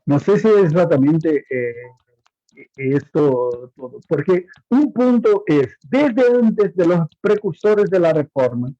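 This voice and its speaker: Man teaching haltingly in a second language. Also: on the high side, lively intonation, mellow, no echo. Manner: halting, repeats words, asks students questions; speaks Spanish as a second language. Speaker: Bible course teacher. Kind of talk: online class lecture. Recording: muffled lo-fi audio.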